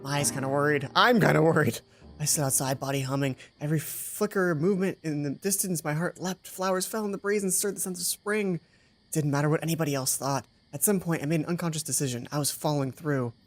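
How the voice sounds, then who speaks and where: A woman's voice: low-pitched, quick pace. A woman hosting a let's play, reading game narration aloud with casual asides. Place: closed hot room at home. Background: music.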